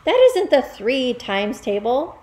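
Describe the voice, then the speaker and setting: Woman as a high, panicky little schoolboy. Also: very animated, slow pace, a little mellow, full character voice. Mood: panicked, pleading. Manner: stammering, crying out in panic. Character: frightened, flustered young schoolboy, pleading. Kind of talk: children's storybook character reading.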